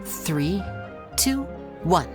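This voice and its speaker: A suave young stage magician. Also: male voice, fairly high pitch, sing-song, very slow, over music, full character voice. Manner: smooth, polished, showman-like. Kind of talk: video game dialogue.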